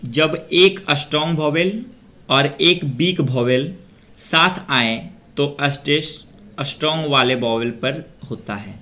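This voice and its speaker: A young man from Bihar, India, teaching a pronunciation lesson and repeating each word slowly for practice. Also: mid-range voice, bright, forceful tone. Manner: slow, repeats words drill-style. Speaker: language teacher.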